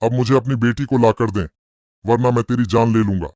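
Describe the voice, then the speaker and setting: A man's voice: mid-range voice, steady tone, a little fast, darkish tone, no echo, clean studio sound, natural voice. A man playing a dangerous, threatening ghost in a dramatized moral story. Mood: angry, threatening. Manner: threatening, commanding. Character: dangerous, menacing male ghost guarding his garden.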